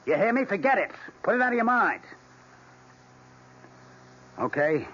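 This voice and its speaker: A domineering American husband in his forties in an old radio play. He is blunt and impatient, with a husky, fairly high voice that swings widely. The recording is dull. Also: very animated, unhurried, a bit bright, heavily acted. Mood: angry, adamant. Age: 40s. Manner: curt, dismissive, blunt. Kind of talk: vintage radio drama acting.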